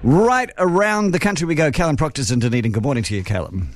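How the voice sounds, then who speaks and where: A man's voice: on the high side, expressive, quick pace, no echo, faint music behind. Man in his 60s, new zealand accent, opinionated radio host, dry studio sound. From born in the UK, raised in New Zealand. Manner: opinionated, reads texts aloud and responds. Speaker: radio talk show host. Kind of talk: talkback radio hosting.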